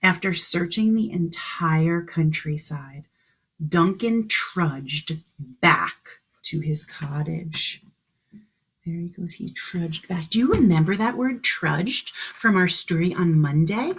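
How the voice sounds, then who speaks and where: Expressive woman teacher, husky and unhurried. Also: on the low side, poor audio. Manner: expressive, exclaiming, questioning. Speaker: kindergarten teacher. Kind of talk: read-aloud to young children with explanations and questions.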